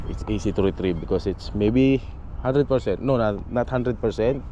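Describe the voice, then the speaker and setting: A man's voice: on the low side, normal speed, warm tone, highs cut off. A Filipino man with a Filipino accent, talking casually while fishing from a boat, coaxing the fish and mixing English with Filipino. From Philippines. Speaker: fishing vlogger. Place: outdoors on a boat on open water. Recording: outdoor boat and water noise. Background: boat and water ambience.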